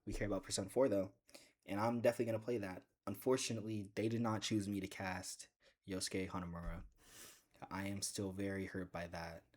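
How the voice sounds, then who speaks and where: A man with a deep voice chatting casually in a gaming vlog, rambling and joking with bursts of excitement. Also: a little fast. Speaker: gaming video creator.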